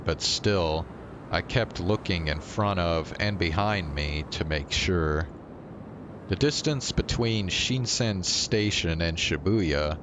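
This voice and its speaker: A man's voice: low voice, background noise. A male streamer reading a visual novel aloud and talking to viewers as he goes. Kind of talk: live read-aloud narration of a visual novel.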